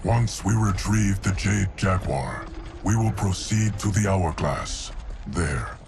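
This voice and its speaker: An actor playing a solemn, loyal, ancient guardian of time in a video game, speaking in a measured, formal way. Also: male voice, low-pitched, expressive, darkish tone, slight background noise, exaggerated voice.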